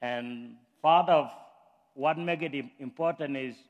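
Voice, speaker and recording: A man's voice: medium pitch, a little slow, big-room sound, lo-fi sound. A man from South Sudan, a guest pastor speaking earnestly to a church congregation. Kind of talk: church talk. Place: church sanctuary.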